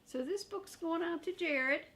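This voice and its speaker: An older woman reading a children's book aloud, chatting to young listeners in simple, gentle phrases. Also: very high-pitched, a little slow. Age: older, a grandmother.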